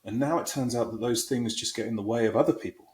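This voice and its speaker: A man's voice: medium pitch, fast, a little mellow, reverberant. A middle-aged man talking as an interview guest, reflective and self-deprecating about his career. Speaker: guest, people and talent executive. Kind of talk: conversational interview.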